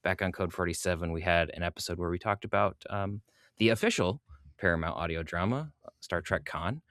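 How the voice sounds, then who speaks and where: A man with a low voice and a close, dry sound hosts a podcast. He talks in a casual, conversational interviewing style. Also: brisk, fairly bright tone, no echo.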